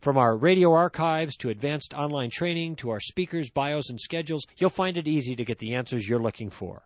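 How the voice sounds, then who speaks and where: A man's voice: fairly high pitch, lively intonation, fairly quick, no echo. A male radio host reading a scripted ad in an earnest, persuasive tone.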